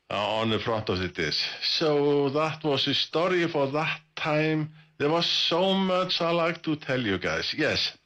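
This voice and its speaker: A man's voice: medium pitch, fairly bright tone. A middle-aged man with an Icelandic accent, speaking English as a second language, presenting on a live amateur radio stream in a casual, rambling way with many fillers and self-corrections. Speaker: ham radio net presenter.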